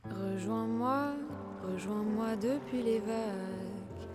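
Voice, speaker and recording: Une actrice joue une sirène séduisante qui attire les marins vers la mort d'un chant doux. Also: female voice, mid-range voice, natural voice. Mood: seductive, soothing. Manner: slow, soft, seductive singsong. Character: alluring siren singing sailors to their deaths. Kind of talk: audio fiction, sung incantation. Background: music.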